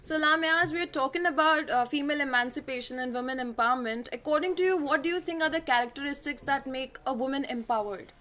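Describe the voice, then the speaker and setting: A young Indian woman with a high, bright-pitched voice hosts a student talk show. She reads her introductions and asks pointed questions, often filling with "you know". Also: quick pace, few pauses. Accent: Indian English. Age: young adult.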